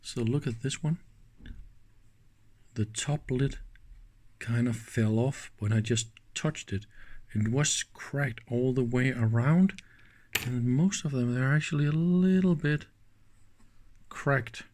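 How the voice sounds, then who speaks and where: Slow-spoken man doing teardown commentary. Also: mid-range voice, darkish tone. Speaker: host of an electronics teardown channel. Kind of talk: hands-on teardown commentary, thinking aloud.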